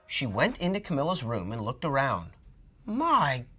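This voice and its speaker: Animated man reading a storybook aloud. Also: mid-range voice, fairly quick, over music. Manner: animated, dramatic. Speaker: storybook reader. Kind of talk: expressive storybook read-aloud.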